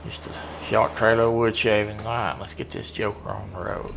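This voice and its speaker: An American man with a deep voice chatting casually in a folksy, rambling way while playing a truck driving game. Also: flat delivery, moderate pace. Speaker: host of a gaming stream. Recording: clear background hum and vehicle noise.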